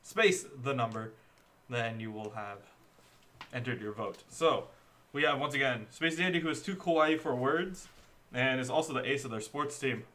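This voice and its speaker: A man's voice: medium pitch, moderate pace, fairly bright tone. A man hosting a casual comedy stream, laid-back and giggly as he explains things. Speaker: stream host.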